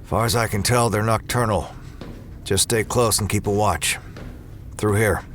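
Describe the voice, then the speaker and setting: An actor playing a weary, cynical lone space ranger in a sci-fi audio drama, terse, guarded and grim. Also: male voice, fairly low pitch, fairly even intonation, a little slow, a bit bright, slight background noise. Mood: terse, wry.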